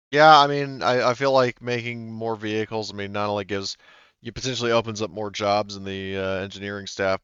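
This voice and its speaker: A man's voice: lowish voice, fairly bright tone, clean tone, dry recording, lo-fi sound. A man running a tabletop game, chatting casually with players, hesitant and full of fillers, swearing now and then. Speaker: game master.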